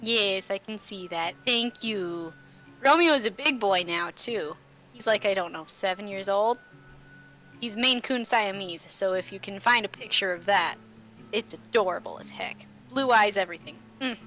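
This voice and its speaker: Woman, game master, bright clear-cut voice, casual roleplay narration, faint music behind. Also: medium pitch, moderate pace.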